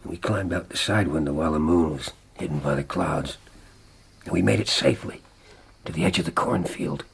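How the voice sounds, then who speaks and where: An actor playing a desperate, haunted frontiersman in a radio drama, grave and weary, with a gravelly, husky voice. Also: a bass voice, normal speed, heavily acted. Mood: grave, tense. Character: haunted, desperate male frontiersman recounting his lost love. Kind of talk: radio drama acting and narration.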